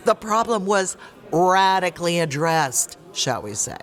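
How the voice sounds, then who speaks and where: Man playing an animated, chatty space publican. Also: high voice, slow, heavily acted. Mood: teasing. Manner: breezy, exclamatory, teasing. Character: chatty, excitable, friendly male space publican. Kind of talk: audio drama acting.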